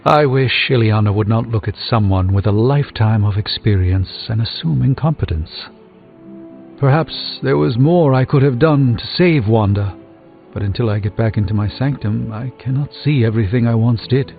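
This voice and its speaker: A man's voice: medium pitch, moderate pace, gravelly, lightly acted. A man playing a proud, wry sorcerer and mentor in a video game, speaking in a formal, articulate, dryly pompous way. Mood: troubled. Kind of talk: scripted video game dialogue. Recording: phone-like narrow band, music behind.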